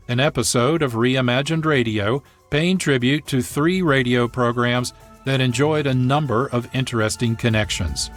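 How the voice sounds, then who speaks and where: A man hosting a radio programme, presenting from a script. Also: medium pitch. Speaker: radio host and producer. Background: music.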